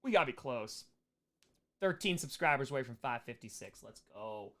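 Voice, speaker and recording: A man's voice: medium pitch, expressive, fairly quick, bright, forceful tone. A man hosting a casual livestream Q&A, joking and repeating short phrases as he goes. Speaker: streamer hosting a live Q&A.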